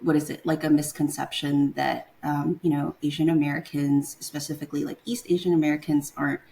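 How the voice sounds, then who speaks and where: Low-voiced young woman from Taiwan. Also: little pitch movement, brisk, vocal fry, dark tone, poor audio. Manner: hesitant, searching for words with many fillers. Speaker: guest. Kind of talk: casual interview conversation.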